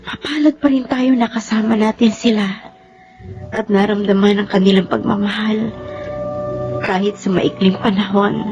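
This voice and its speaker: A woman's voice: mid-range voice, fairly even intonation, moderate pace, long pauses, dull audio, music in the background, close to an everyday voice. An actress playing an affectionate widowed mother and elder sister in a radio drama, speaking expressively. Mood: tender, solemn. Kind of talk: Filipino radio drama acting.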